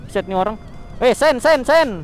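A young man with a high voice talks in quick, slangy bursts, full of exclamations. He speaks into a helmet intercom while riding through the city at night, with faint music underneath. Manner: excitable, slangy, exclaims often. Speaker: motovlogger. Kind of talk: casual riding vlog chat. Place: on a motorbike on city streets at night.

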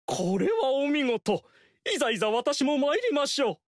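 An actor voicing a flamboyant, fawning court strategist in a video game, speaking in a theatrical, courtly way and repeating phrases for emphasis. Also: male voice, very high-pitched, sing-song, moderate pace, dry recording, clean studio sound. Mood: scheming. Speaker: game character.

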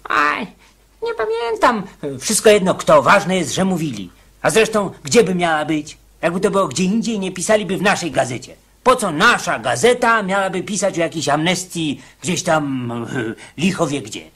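An actor playing an excitable, naively hopeful condemned prisoner in a radio drama, stammering and repeating himself in disbelief. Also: male voice, high-pitched, normal speed, breathy edge, exaggerated voice. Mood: insistent. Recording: noisy radio play recording.

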